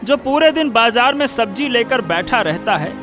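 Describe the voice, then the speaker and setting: A man's voice: extremely high pitch, lively intonation, moderate pace, music in the background. A man playing a scheming, spiteful village cheat in a cartoon, argumentative, blustering and insistent. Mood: scheming, persuasive. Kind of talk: Hindi cartoon moral story acting.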